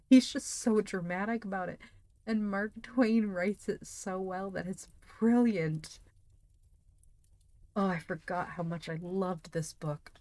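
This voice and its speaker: A woman reading aloud and chatting on a livestream, expressive and enthusiastic in manner. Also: medium pitch, takes it slowly, no room sound. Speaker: streamer reading books aloud; teacher. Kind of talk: expressive audiobook-style reading with casual livestream chat.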